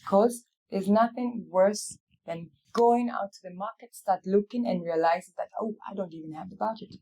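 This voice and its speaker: A woman from Africa gives heartfelt advice as an interview guest. She speaks emphatically at a middle pitch, circling back to key phrases and repeating them for weight. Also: mellow, low-quality recording. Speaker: interview guest, real estate company CEO. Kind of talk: motivational interview conversation.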